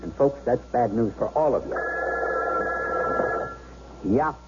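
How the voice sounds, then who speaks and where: An actor playing a suspicious, threatening bank robber in a radio drama, talking in a tough, slangy, sneering way. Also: male voice, medium pitch, expressive, slow pace. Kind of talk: 1970s radio drama acting. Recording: dull, noisy 1970s radio broadcast.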